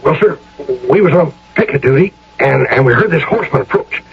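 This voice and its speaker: An actor playing a frightened, plain-spoken old army sergeant in a radio drama, stammering in folksy, ungrammatical speech. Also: male voice, a little high, expressive, brisk, vocal fry, husky, exaggerated voice. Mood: shaken, earnest. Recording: dull, noisy old radio recording.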